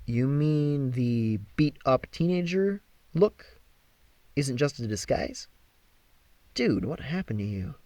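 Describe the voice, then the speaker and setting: A man's voice: medium pitch, expressive, slow pace, long pauses, warm tone, no echo, far from the mic. A skeptical, laid-back young man from a storybook, as a man reader plays him. He sounds incredulous and casual, in a dry recording. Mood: baffled, skeptical. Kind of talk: storybook character reading.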